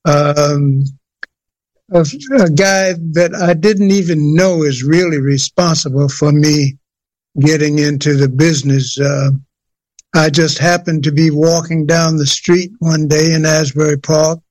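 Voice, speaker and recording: An older man with a somewhat high voice recalls his early days in a relaxed, halting way, full of "uh"s and restarts. The sound is close and dry, with no room around it. He takes his time, a singer chatting about how he got started. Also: no echo. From USA, New Jersey. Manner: hesitant, many fillers and restarts. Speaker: guest singer. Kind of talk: relaxed interview storytelling.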